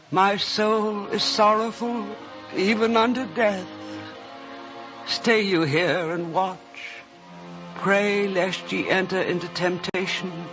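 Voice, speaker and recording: Reverent biblical narrator, high voice, slow formal declamation, music underneath. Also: male voice, rough recording. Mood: grave, sorrowful. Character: solemn male scripture narrator voicing a grave Jesus. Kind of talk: solemn scriptural narration in radio drama. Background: prominent music.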